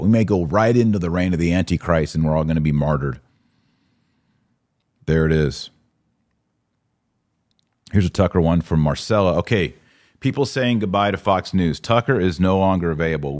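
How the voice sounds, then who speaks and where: Deep-voiced American man hosting a podcast. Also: darkish tone, dry recording, clean studio sound. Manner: emphatic, repeats phrases for stress. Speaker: podcast host. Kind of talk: live commentary answering viewer comments.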